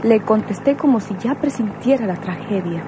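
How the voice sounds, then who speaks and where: A woman's voice: mid-range voice, animated, normal speed, close to an everyday voice. An actress playing a mature, strong and serene woman in grief in a radionovela. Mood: reproachful. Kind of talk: radionovela acting. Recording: clear vehicle noise behind.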